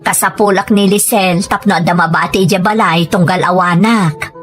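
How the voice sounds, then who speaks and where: A woman's voice: fairly low pitch, steady tone, normal speed, soft background music, close to an everyday voice. A woman playing a pleading, questioning mother who is struggling, in a family drama. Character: pleading, self-concerned adult daughter, a struggling mother. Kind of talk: dramatized Ilocano family story.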